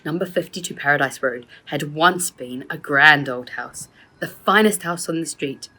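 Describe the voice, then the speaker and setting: A woman's voice: sits low, moderate pace, pushed and bright. A woman narrating a children's storybook as the author reading her own work.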